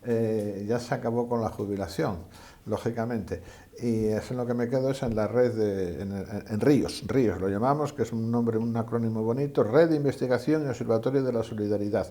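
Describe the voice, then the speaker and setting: Modest older Spanish man with a mellow voice. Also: medium pitch, a little fast. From Asturias, Spain. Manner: modest, hesitant, self-deprecating. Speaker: guest, retired sociologist. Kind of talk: conversational interview.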